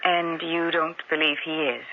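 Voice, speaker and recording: A woman's voice: sits low, level tone, moderate pace, lightly acted. Woman playing a cagey wife who won't say much, thin phone-like vintage radio play. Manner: hesitant, guarded. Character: wary young wife of an accused man. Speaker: wife of the accused. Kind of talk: 1970s radio drama acting. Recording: tinny narrow-band old radio recording.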